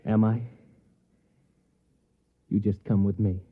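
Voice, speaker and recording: A man's voice: fairly low pitch, level tone, slow. An American actor in old-time radio drama, playing a nervous, paranoid, furtive man with a hesitant, secretive, earnest delivery. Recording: tinny, band-limited vintage radio recording.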